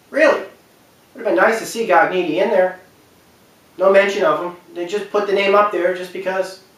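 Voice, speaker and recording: A man's voice: sits high, fairly bright tone. A man giving an unscripted, rambling opinion rant, repeating his points for emphasis. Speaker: online video commentator. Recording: echoey room, distant from the mic.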